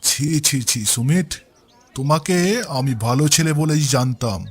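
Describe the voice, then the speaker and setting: A man playing a paternal, righteous village landlord in a cartoon, expressive and admonishing. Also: fairly high pitch, slow pace, slightly rough. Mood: disapproving. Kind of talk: cartoon voice acting.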